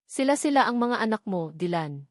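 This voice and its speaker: A woman's voice: medium pitch, animated, moderate pace, no echo, lightly acted. A wronged mother, as a woman narrator acts her out, speaks with hurt and bitterness. She is emotional and accusing, a romantic-drama heroine. The recording is dry and close. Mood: pained. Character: wronged tearful single mother, former secretary, pleading and bitter.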